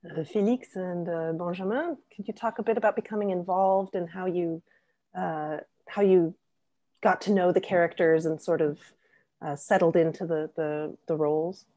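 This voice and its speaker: Young female interviewer, lowish voice, dry close sound, video call. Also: fairly even intonation, normal speed, mellow, no echo. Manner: hesitant, many fillers. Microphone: video call, earphone mic.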